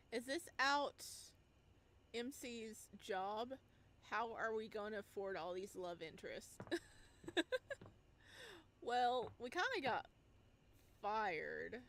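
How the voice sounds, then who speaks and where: A woman doing playful, expressive gameplay commentary, slipping between reading text aloud and exclaiming in singsong asides. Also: fairly high pitch, slow, pauses often. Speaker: game streamer.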